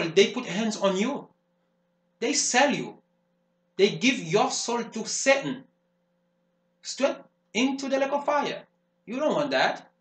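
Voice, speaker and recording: Fervent preacher. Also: male voice, very high-pitched, fairly bright tone, heavily acted. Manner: emphatic, repetitive preaching cadence. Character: fervent male preacher warning of the devil. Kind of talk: sermon. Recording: roomy echo.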